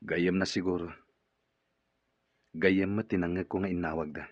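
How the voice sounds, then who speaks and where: Glum boss in ilocano filipino radio drama, really deep husky voice, dry muffled sound. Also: male voice, steady tone, normal speed, no echo. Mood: gloomy. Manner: downcast, grumbling. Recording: dull lo-fi radio recording.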